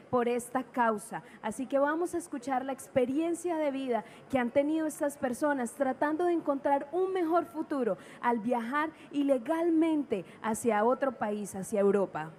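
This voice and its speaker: A woman interpreting a church broadcast live in a clear, formal announcing manner. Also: sits high, brisk, few pauses, darkish tone. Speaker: Spanish interpreter.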